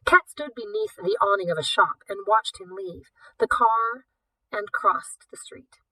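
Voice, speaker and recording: A woman reading a novel aloud in an expressive, deliberate storytelling manner. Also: medium pitch, breathy edge, no room sound, recorded from a distance, low-quality recording. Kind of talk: scripted audiobook narration.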